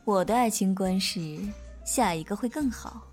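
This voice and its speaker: A woman's voice: mid-range voice, normal speed, a little mellow, faint music behind, lightly acted. An actress playing a flirtatious, worldly woman restaurateur in an audio drama, with a playful, knowing delivery. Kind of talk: Mandarin audio drama acting.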